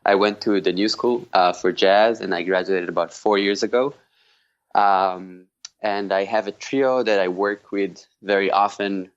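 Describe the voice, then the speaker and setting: Low Israeli-accented man, muffled sound. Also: steady tone, unhurried, smooth. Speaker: podcast guest, jazz guitarist. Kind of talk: casual conversational interview.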